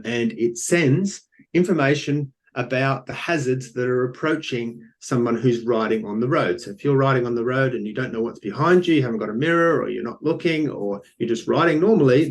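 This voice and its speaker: An older man with an Australian accent talks an event audience through his engineering firm. His voice sits at an ordinary middle pitch, and he explains loosely, full of ums and restarted phrases. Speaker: company founder pitching. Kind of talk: business pitch presentation.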